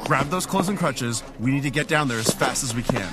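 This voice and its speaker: Headstrong hero actor over clear music. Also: male voice, medium pitch, lively intonation, fairly quick, close to an everyday voice. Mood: urgent. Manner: urgent, insistent. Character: determined, headstrong hero, impatient to help. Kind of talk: radio drama acting.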